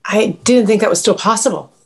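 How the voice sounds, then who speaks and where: A woman's voice: medium pitch, fairly quick, husky. A woman playing an eager, shallow customer in a comedic script read. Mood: doubtful.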